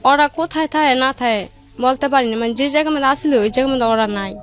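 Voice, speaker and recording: High-voiced woman with rural Bangladeshi dialect. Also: a bit bright. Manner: halting, plain-spoken, hard to follow. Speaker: studio guest telling her life story. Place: radio studio. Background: music.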